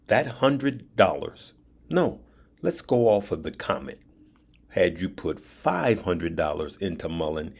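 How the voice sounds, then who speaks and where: A man with a deep voice hosting a stock trading channel, giving casual advice and driving his points home by repeating them and checking in with "right?". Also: husky. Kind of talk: casual finance advice to viewers.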